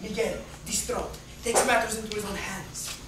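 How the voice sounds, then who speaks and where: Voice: mid-range voice, little pitch movement, moderate pace, lightly acted. An amateur actor playing a passionate, indignant romance writer in a stage play, theatrical and florid, mixing in Spanish words. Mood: romantic, dramatic. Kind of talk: amateur dramatic reading of a play. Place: echoey room, far from the mic. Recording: very echoey, distant, noisy room recording.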